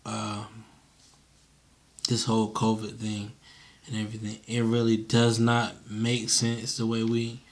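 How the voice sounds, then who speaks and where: A man's voice: on the low side, monotone, measured pace, pauses often. Man chatting casually, informal and slangy. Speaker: interviewer. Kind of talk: casual conversational chat.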